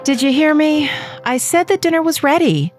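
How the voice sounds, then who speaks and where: A woman's voice: high voice, expressive, normal speed, noisy background. An actress playing an unimpressed, nagging wife and mother, plainspoken and matter-of-fact, in an audio horror drama. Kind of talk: audio horror anthology acting.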